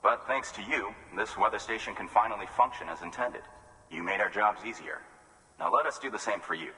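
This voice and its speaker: A man's voice: fairly low pitch, brisk, sharp tone. An actor playing a courteous, grateful male weather station worker in a video game, speaking politely and earnestly. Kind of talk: video game cutscene acting. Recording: long reverb, faint noise.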